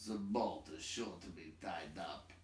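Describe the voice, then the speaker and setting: A man voicing an old, gruff and doubting male dwarf leader in a storybook reading, deliberate and grumbling. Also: deep voice, pitched below the performer's own voice. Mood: doubtful. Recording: roomy echo, distant microphone.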